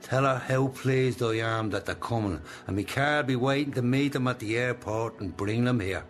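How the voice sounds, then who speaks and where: Actor as a coaxing, controlling old Irish boss. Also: male voice, medium pitch, faint music behind, natural voice. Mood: brisk, commanding. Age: older. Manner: slow, deliberate, coaxing. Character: smooth, controlling old Irish male boss, benign menace. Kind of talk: radio drama acting.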